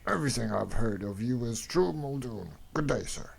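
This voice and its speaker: A man's voice: mid-range voice, lively intonation, normal speed, full character voice. A man voicing a pompous, skeptical inventor with an incredulous manner, in a comic-book drama. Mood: scornful disbelief. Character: crusty, scornful elder male inventor, pompous and skeptical. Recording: clearly noisy recording.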